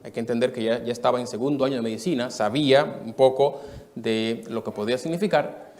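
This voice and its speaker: A man in his thirties from the Dominican Republic, preaching a sermon testimony to a live church audience in an earnest storytelling way, moving between soft and emphatic. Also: medium pitch, fairly quick.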